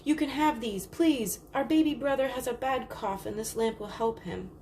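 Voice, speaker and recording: An eager young girl from a picture book, acted out by a woman reader. She speaks higher and earnestly, as if imploring. Some noise sits behind the voice. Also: moderate pace, darkish tone, close to an everyday voice. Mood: pleading. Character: eager, pleading young girl, little sister. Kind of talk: storybook character dialogue. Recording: clearly noisy background.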